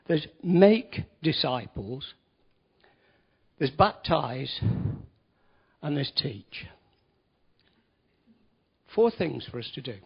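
A man's voice: fairly high pitch, sing-song, drawn-out words, gravelly, long pauses, breathy edge. A man giving a conversational sermon in a church hall, with wry asides and emphatic stresses. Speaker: lay preacher. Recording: dull lo-fi recording.

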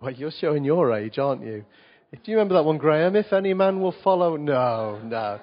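A man preaching a sermon to a congregation, his voice a little croaky from a cold, chatty and asking the people questions as he reads scripture. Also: on the high side, animated, fairly quick. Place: church service before a congregation. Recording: dull lo-fi recording.

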